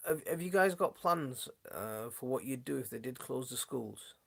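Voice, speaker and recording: A man hosting a gardening channel, chatting casually on a live stream from his home, his voice going hoarse, with a rambling, friendly way of talking. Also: mid-range voice, lively intonation, normal speed, a bit bright. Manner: rambling, many restarts, chatty and friendly toward viewers. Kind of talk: casual live-stream chat answering viewers. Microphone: phone.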